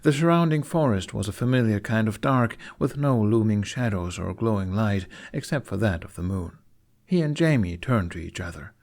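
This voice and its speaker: A man's voice: lowish voice, expressive, normal speed, a little mellow. A man narrating a scripted fiction story expressively, as an audiobook reader. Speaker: narrator of a fan fiction podfic.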